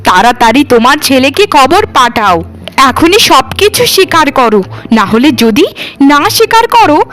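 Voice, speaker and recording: A woman's voice: high voice, very animated, normal speed, fairly bright tone. A woman voicing a wronged, firm and defiant young daughter-in-law in a cartoon. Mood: stern, warning. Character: wronged young daughter-in-law turned bold, threatening giantess. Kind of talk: cartoon voice acting.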